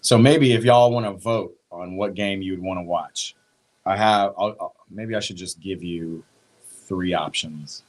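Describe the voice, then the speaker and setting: Laid-back lower-voiced streamer. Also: male voice, unhurried. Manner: laughing, joking, loose and chatty. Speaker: watch party streamer. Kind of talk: casual livestream chat.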